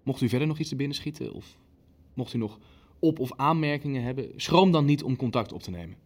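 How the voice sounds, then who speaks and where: A man playing a self-assured, coldly formal Nazi chairman in a Dutch radio play, speaking in measured phrases. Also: mid-range voice, lively intonation, close to an everyday voice. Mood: formal, satisfied. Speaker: meeting chairman.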